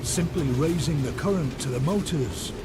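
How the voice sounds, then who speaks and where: A man's voice: fairly high pitch, normal speed, heavy background noise. A man reading scripted, dramatic documentary narration. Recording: loud music under the voice.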